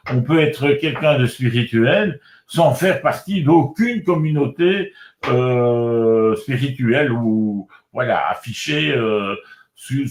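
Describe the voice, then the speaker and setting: A man in his sixties, born in Congo, talking as an author and guest in a relaxed spiritual chat, often repeating words and restarting. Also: mid-range voice. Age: 60s. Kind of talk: casual spiritual conversation. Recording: roomy echo.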